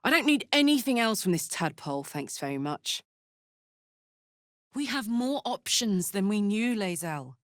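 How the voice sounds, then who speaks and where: Brash fiery warrior woman, unhurried and expressive acted. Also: mid-range voice, no room sound, clean studio sound, close to an everyday voice. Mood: casual, ready. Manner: blunt, informal, emotionally open soldier's talk. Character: brash, big-hearted tiefling warrior woman, battle-hardened, fiery. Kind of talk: video game character voice acting.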